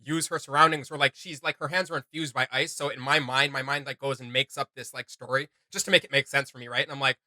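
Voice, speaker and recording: A man co-hosting a reaction video, chatting casually in an animated, rambling way. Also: mid-range voice, fast talker, few pauses, no room sound.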